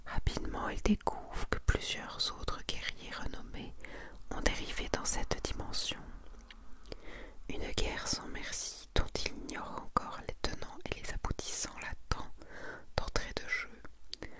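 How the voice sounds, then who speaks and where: A woman speaking in a soft, breathy ASMR whisper, hushed and intimate, presenting and reading aloud in a casual way. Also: unhurried. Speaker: ASMR creator presenting a manga collection.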